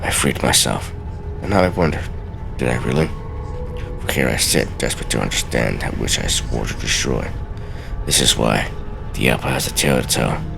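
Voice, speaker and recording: Very deep-voiced man narrating a video game. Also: lively intonation, normal speed, gravelly, pauses often, over music. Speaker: let's play host. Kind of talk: game commentary with dramatic reading of in-game texts.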